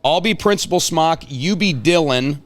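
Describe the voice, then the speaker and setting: Higher-pitched man hosting a playful chat. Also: animated, moderate pace. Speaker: host. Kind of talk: casual chat, playful prompting.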